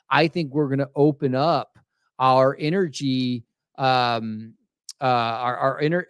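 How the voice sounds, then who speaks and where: A man from Texas talks shop in a loose, animated podcast chat, often restarting his sentences. His voice sits in a middle register. The recording is close and dry, with no room sound. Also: medium pitch, no echo. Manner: animated, many restarts, acts out quoted voices. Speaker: podcast co-host. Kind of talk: casual industry chat.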